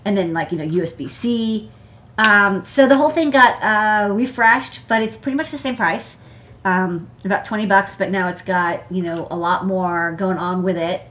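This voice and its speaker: American woman, mid-pitched voice, chatty and rambling, casual live tech show-and-tell, lots of filler phrases. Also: normal speed, a bit bright. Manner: rambling, chatty, peppered with "like" and "you know". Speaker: host, electronics engineer. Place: indoor desk workspace.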